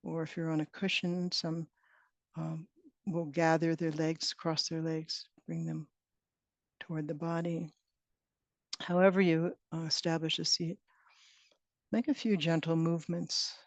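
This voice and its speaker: A woman leading a guided meditation as a teacher, speaking in gentle, unhurried phrases with long pauses. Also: low voice, little pitch movement, no room sound. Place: online retreat session, close dry sound. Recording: dull, band-limited online call audio.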